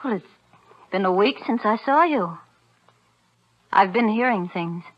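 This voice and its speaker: A woman's voice: mid-range voice, expressive, a little slow. An actress playing an anxious, pleading young girlfriend, earnest and emotional, in 1940s radio thriller style. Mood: worried. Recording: dull vintage radio recording.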